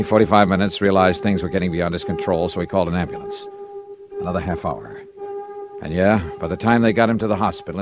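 An actor playing a terse, hard-boiled insurance investigator in a 1950s radio drama, brisk, probing and matter-of-fact. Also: male voice, low voice, natural voice. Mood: grave. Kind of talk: 1950s radio detective narration and dialogue.

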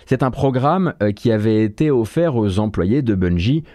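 Male host, casual news chat, hesitant and wry, warm low voice, dry recording. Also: expressive, moderate pace, dry recording. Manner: hesitant, many fillers and self-corrections, wry. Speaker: host of a morning video game news stream.

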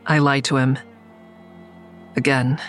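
A woman's voice: rumbling low, flat delivery, slow pace, slight background noise. An actress playing a sleepless, guarded woman in an audio drama, confiding and understated as she narrates and talks. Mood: weary, confiding. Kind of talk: audio drama first-person narration and dialogue.

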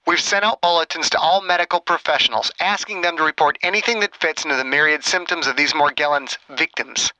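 A man's voice: a little high, expressive, normal speed, sharp tone, no room sound, pitched above the performer's own voice, low-quality recording. A man voicing an annoyed, contemptuous, officious male doctor in an audiobook. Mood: angry, officious. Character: annoyed, condescending male disease task force doctor. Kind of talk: audiobook character dialogue.

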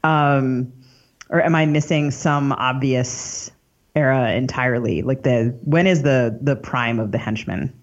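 A man's voice: medium pitch, unhurried. A man with a mid-range voice hosts a casual literary interview. He is inquiring, reshaping each question while he asks it. The recording is slightly muffled. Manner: inquiring, rephrasing questions as they come. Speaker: podcast host.

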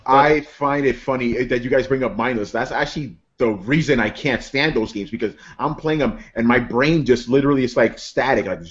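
A man's voice: medium pitch, brisk, few pauses. New york guy cohosting a podcast, wry and jokey, swears a bit, muffled lo-fi sound. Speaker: podcast co-host. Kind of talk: casual chat. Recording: dull lo-fi podcast audio.

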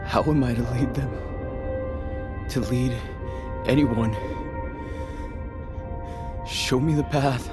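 A grieving young leader , played by a man, speaks very slowly, solemn and unsure. Loud music surrounds this fantasy audio drama voice. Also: mid-range voice, fairly even intonation, lightly acted. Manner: solemn, halting. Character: grieving, doubtful young male leader seeking guidance.

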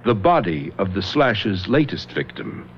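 A calm, shrewd police lieutenant. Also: male voice, low voice, moderate pace. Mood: probing. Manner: calm, probing and authoritative. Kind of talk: 1970s radio drama acting. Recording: dull old radio broadcast recording, faint hiss.

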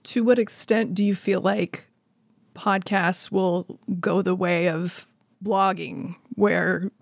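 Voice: mid-range voice, fairly even intonation, slow, gravelly, dry recording, clean studio sound. An interviewer putting questions in a conversational style.

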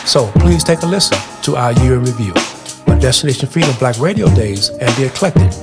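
A man's voice: mid-range voice, lively intonation, fast talker. A man hosting a podcast, delivering an introduction to the show. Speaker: podcast host and producer. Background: hip hop music under the voice.

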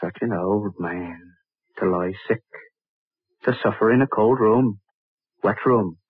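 An actor playing a poor, whiskey-craving body seller turned murderer in an old radio drama, muttering in short, repeated phrases. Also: male voice, lowish voice, takes it slowly, breathy edge, no echo. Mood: uneasy, hushed. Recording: muffled, phone-like vintage radio recording.

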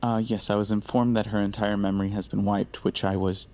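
An actor playing a nervous, apologetic agent under interrogation in an audio drama, stammering and deferential. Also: male voice, lowish voice, level tone, a little fast, a little mellow, close to an everyday voice.

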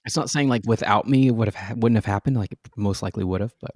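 A man's voice: lowish voice, fast talker, darkish tone, no echo, clean studio sound. A man in his thirties, a guest actor, telling a long story in a casual interview, rambling and restarting, laughing at himself.

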